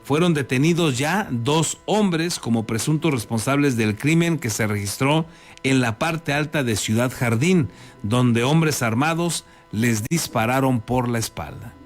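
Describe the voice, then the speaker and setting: A man's voice: medium pitch, a little slow, faint music behind. A man with a Mexican accent presenting scripted news as an anchor.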